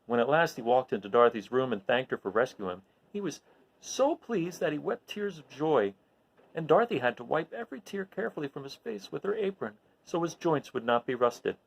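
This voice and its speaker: Lively, gravelly man reading a storybook. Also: medium pitch, a little fast, rough recording. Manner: animated, recapping with questions to listeners. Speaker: storyteller reading aloud to an audience. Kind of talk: expressive children's storybook read-aloud.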